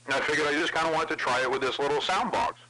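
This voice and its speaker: A man's voice: fairly high pitch, racing, bright, forceful tone. A man chatting casually over CB radio with crude, profane banter. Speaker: CB radio operator. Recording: noisy CB radio transmission with clicks.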